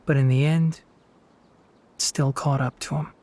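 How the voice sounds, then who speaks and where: A man's voice: a little high, steady tone, unhurried, darkish tone, a little hiss or hum, natural voice. An actor playing an earnest, thoughtful young male forest ranger in a video game, articulate and sincere. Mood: earnest, concerned. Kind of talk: scripted video game voice acting. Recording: music behind the voice. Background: faint music.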